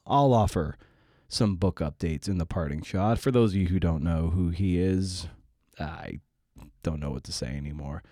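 A man's voice: deep voice, mellow, dry recording, studio quality. A man hosting a podcast in a rambling, casual way, with a wry, self-deprecating, digressive manner. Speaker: podcast host and interviewer.

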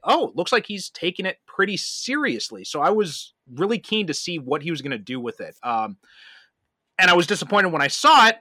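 Guy hosting a movie podcast, kinda high bright voice, just chatting, really dry sound. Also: no echo. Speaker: podcast host. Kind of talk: casual film discussion.

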